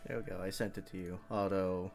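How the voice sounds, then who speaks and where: Deep-voiced man chatting on stream. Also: flat delivery, brisk, gravelly, darkish tone. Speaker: livestreamer. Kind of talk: casual livestream chat. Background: game music.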